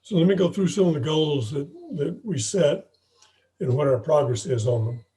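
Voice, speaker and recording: A hoarse man, speaking haltingly and often clearing his throat, gives an informal report as club president on a video call. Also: on the high side, warm tone. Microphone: video call microphone.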